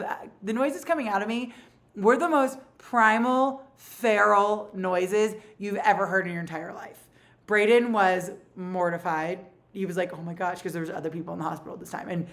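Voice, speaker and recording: A woman in her forties with a low voice, telling a personal story in a casual, animated way with lots of fillers. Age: 40s. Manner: animated, rapid retelling with many fillers. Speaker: vlogger.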